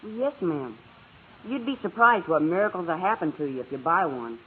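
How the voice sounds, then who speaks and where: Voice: mid-range voice, very animated, normal speed, natural voice. An actor voicing a cheeky young boy peddling Christmas trees with wheedling salesman patter in an old radio comedy. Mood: slyly confident. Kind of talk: 1940s-50s radio comedy acting. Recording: tinny noisy old radio, studio audience.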